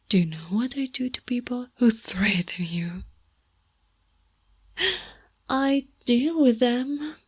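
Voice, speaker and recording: A woman playing an obsessive, sweetly menacing goth girlfriend in an ASMR roleplay, soft, cooing and possessive, often dropping into whispers. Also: on the high side, breathy edge, full character voice. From Italy.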